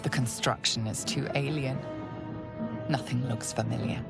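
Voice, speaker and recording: Deep croaky lady narrator, hushed and ominous, fantasy game, big music behind. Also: fairly even intonation, a little slow, full character voice. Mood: measured, suspenseful. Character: hushed, ominous storyteller woman. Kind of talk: second-person scripted game narration. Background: loud music.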